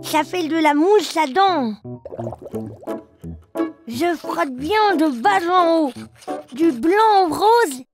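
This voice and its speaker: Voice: very high voice, lively intonation, drawn-out words, long pauses, heavily acted. A performer voicing an eager, playful little boy bear cub in a children's cartoon, with a childlike singsong manner. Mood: playful, proud. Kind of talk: children's cartoon dialogue and rhymes. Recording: music behind the voice.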